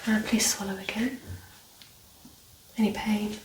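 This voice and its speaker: A woman speaking softly and breathily, often whispering, calm and reassuring as she plays a doctor in an ASMR medical exam. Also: medium pitch, measured pace. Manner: calm, reassuring, gently instructing. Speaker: ASMR performer playing a doctor. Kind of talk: soft-spoken ASMR medical exam roleplay. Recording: roomy echo, distant mic, background noise.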